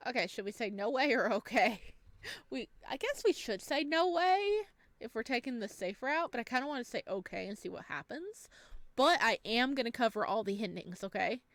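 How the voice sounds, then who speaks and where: A woman hosting a let's play, reading game text aloud and chatting to viewers with bubbly, expressive reactions. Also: on the high side, brisk, dry recording. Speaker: gaming video host.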